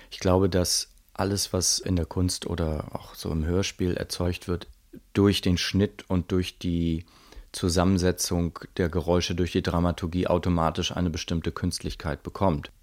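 A man's voice: sits low, a little mellow. A man giving a reflective interview statement as an artist, thoughtfully searching for his words. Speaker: musician and radio drama maker.

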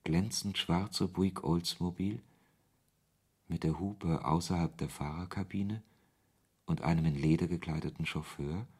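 Really deep husky guy as a writer narrating his own odd story, wry, literary radio play. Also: darkish tone, exaggerated voice. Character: male author narrating his absurd encounter, wry and irritated. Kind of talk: scripted first-person radio play narration.

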